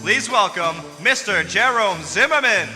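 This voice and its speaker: A man's voice: high-pitched, lively intonation, a little slow, pushed and bright, exaggerated voice. A man playing a showy 1940s radio announcer, formal and grandly projected like a showman. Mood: grand, welcoming. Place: theater stage before live audience. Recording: echoey theater hall, music behind.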